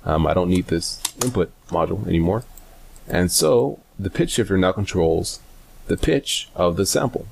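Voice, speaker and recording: A man giving a casual software tutorial, hesitant and informal as he thinks aloud. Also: low voice, lively intonation, normal speed, vocal fry, background noise. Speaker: tutorial host.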